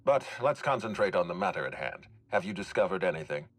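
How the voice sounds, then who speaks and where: A deep-voiced, formal, guarded executive. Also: male voice, lively intonation. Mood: measured concern. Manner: measured, courteous, formal, dryly stern. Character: formal, guarded male executive overseeing hotel and orchards. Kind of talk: dramatic video game dialogue.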